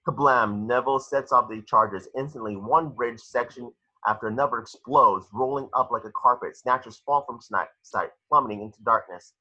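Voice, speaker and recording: A man's voice: mid-range voice, moderate pace, pushed and bright, breathy edge, muffled recording. A man reading stage directions aloud as narrator in a dramatic screenplay read-through.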